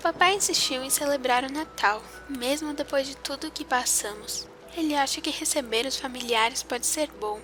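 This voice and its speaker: A woman's voice: high-pitched, moderate pace, few pauses, pushed and bright, soft background music. A woman playing a wistful, grieving young girl in an audio drama, speaking in a reflective, confiding way. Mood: hopeful.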